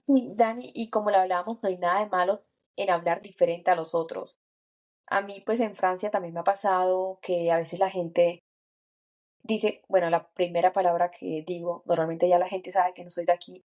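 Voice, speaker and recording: A woman's voice: lowish voice, fairly even intonation, moderate pace, darkish tone. A woman co-hosting a podcast, speaking in an earnest, encouraging way and thinking aloud with small restarts. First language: Spanish. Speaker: podcast co-host. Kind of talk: reflective podcast chat. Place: lives and works in France.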